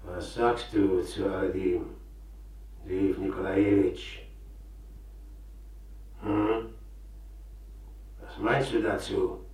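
An actor playing a jealous, passionate young merchant in a 1950s radio drama, speaking slow and heavy, then flaring up. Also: male voice, sits low, steady tone, darkish tone, heavily acted. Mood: brooding. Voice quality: gravelly, husky.

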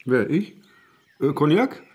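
Interrogated husband, radio play, husky, halting, evasive, repetitive, on edge. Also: male voice, on the high side, very animated, a little mellow, full character voice. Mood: flustered, wary. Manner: hesitant, halting, flustered, repetitive. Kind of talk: German radio drama acting.

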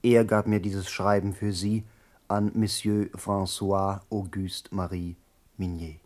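Radio play: stiff, self-important gentleman visitor, unhurried pacing, fairly low pitch. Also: flat delivery. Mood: formal. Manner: formal, deliberate. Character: Ludwig Stege, stiffly formal, self-important male visitor. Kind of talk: German radio play acting.

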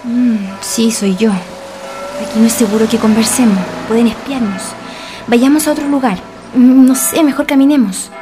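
A woman's voice: on the high side, moderate pace, natural voice. A woman playing a wary, secretive informant in a radio drama, cautious and grave, with formal wording. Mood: guarded. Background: background music.

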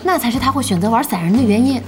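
A woman playing a lively, exasperated young gamer in an audio drama, expressive, emphatic and chatty. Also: on the high side, very fast, lightly acted. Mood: excited. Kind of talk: animated Chinese audio drama acting. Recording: music bed under the dialogue.

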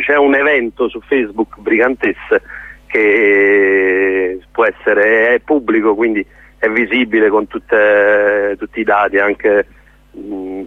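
A man interviewed by telephone, heard through a narrow, dry line. He talks earnestly as a theatre director, often pausing and rephrasing while he looks for the right word. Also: fairly low pitch, no room sound. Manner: hesitant, searching for words, earnest. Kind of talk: spontaneous radio interview. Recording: narrow tinny phone line.

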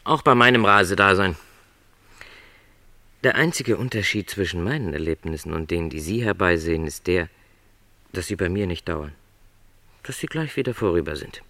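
An actor playing a gentle middle-aged travelling salesman in a literary radio play, earnest and reassuring in formal wording. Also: low voice, lively intonation, moderate pace, pauses often, dry recording, heavily acted. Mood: matter-of-fact.

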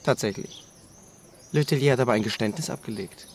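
An actor playing a smug, mocking police officer with a condescending manner in a German radio crime drama. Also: male voice, medium pitch, a little fast, slight background noise, natural voice. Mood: smug menace. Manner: sardonic, condescending.

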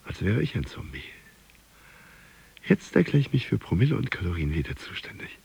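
An actor playing a wistful man dreaming of getting slim, speaking in halting husky fragments in a radio drama monologue. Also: deep voice, big pitch swings, a little mellow, exaggerated voice. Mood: earnest. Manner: halting fragments, at times dropping to a whisper. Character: wistful self-improving dieter dreaming of being slim.

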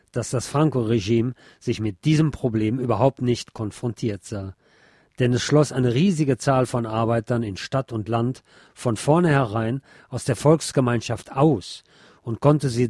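A man's voice: medium pitch, a little mellow, no room sound, clean studio sound. A man reading a history book aloud as scripted narration for a podcast. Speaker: podcast host and reader.

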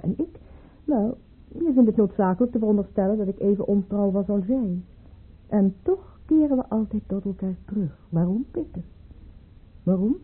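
An actress playing a proud, bitter aristocratic mistress in a radio play, with cutting, theatrical delivery. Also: female voice, medium pitch, husky. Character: proud, bitter, sharp-tongued aristocratic mistress, a widowed baroness. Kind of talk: Dutch radio play acting. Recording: muffled, phone-like old radio play recording.

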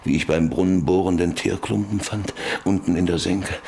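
An actor in a German radio drama playing an old, lonely rancher who broods bitterly over his life in halting, repetitive phrases. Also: low-pitched, fairly even intonation, vocal fry, a little mellow, slight background noise. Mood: bitter, remembering. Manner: brooding, halting, repeating words.

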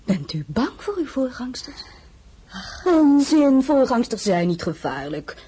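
An actress playing a worldly, mature French empress, vain and shrewd, with a languid, coaxing delivery in an audio drama. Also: female voice, on the low side, very animated, normal speed, darkish tone, a little hiss or hum. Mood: frank, coaxing. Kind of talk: acted audio drama dialogue.

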